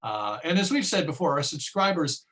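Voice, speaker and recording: A man speaking as a radio host giving an informal online presentation, chatty and self-deprecating, with a halting delivery. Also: on the high side, big pitch swings, a bit bright. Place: remote video presentation.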